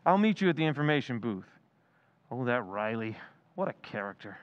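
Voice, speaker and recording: Guy doing evasive old pal from radio sitcom, hesitant and placating, animated, kinda dull recording. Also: mid-range voice, a little fast, no room sound, close to an everyday voice. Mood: easygoing. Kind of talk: old-time radio comedy acting.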